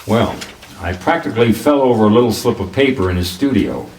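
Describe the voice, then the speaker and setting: A wry, hardboiled private detective played by a male actor in an old-style radio play, self-assured and swinging widely in pitch. The sound is roomy and a little away from the microphone. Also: sing-song, a little fast, a little mellow. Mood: explaining calmly. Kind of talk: 1940s radio detective drama acting with first-person narration. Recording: roomy echo, distant from the microphone.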